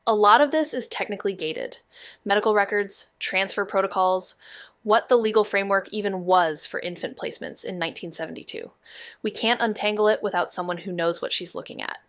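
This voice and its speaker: A woman's voice: mid-range voice, fairly quick, fairly bright tone, no echo, close to an everyday voice. Casting: actress for woman amateur sleuth, playful yet determined, natural mid-range voice, dry studio sound, podcast noir drama. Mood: focused, investigative. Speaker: actor in a neo-noir mystery podcast. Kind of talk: naturalistic audio drama dialogue.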